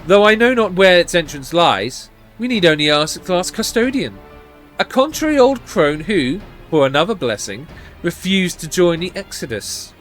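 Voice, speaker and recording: A man's voice: high-pitched, sing-song, fairly bright tone, faint music behind. A man voicing a composed, learned mage from a fantasy game, speaking in solemn, courteous, archaic phrases. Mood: composed, formal. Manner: archaic, formal, measured phrasing.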